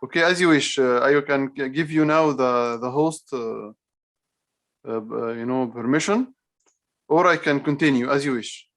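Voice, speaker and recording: A man's voice: medium pitch, a bit bright. Hesitant male teacher on a video call. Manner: hesitant, halting, repetitive phrasing. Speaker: computer teacher for young children. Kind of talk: online class instruction.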